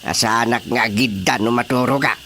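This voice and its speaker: A man's voice: mid-range voice, steady tone, quick pace, sharp tone, slight background noise, close to an everyday voice. An actor with an Ilocano accent playing a fretful frog king in a radio drama comedy, hesitant and full of questions. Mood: puzzled.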